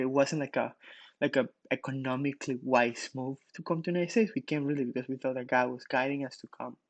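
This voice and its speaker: Man, young adult, pastor, relaxed talk full of "like", mid pitch, dry sound. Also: no room sound. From Ecuador. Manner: casual, many fillers and restarts. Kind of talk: morning devotional.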